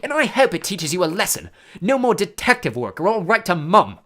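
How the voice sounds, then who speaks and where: Narrator doing a bossy teen prefect boy, pompous scolding, big swings in pitch, storybook. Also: big pitch swings, fast talker, bright, forceful tone. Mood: stern.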